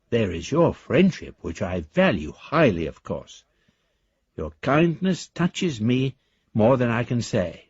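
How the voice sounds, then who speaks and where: A man's voice: on the low side, a little mellow, breathy edge, no room sound, dull audio, exaggerated voice. An actor playing a melancholy, wry ageing father in a radio drama, reading out a letter in formal, literary language. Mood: resigned. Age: older.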